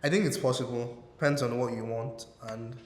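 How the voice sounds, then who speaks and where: A man's voice: medium pitch, steady tone, brisk, a little mellow. A Nigerian man giving wry, joking interview answers. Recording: roomy reverb, faint hiss.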